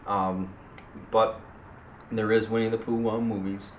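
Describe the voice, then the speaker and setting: Low-voiced man chatting in an echoey room. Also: level tone, unhurried, lo-fi sound. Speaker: video co-host. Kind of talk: casual unscripted movie chat. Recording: echoey room with faint noise.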